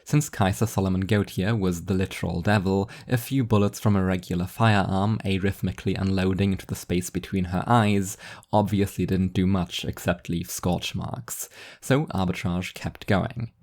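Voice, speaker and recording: Low-pitched, wry story narrator. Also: fairly even intonation, normal speed, darkish tone. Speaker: author reading own story as narrator. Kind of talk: scripted fiction narration.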